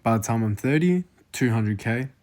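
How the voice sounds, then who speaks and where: A young Australian man in his twenties talks casually through figures on his screen. He thinks aloud, full of "um" and "like". His voice sits at an ordinary middle pitch. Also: lively intonation, normal speed, darkish tone. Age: 20s. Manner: casual, filler-heavy, thinking aloud over numbers. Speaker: investing vlogger. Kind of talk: casual screen-share walkthrough.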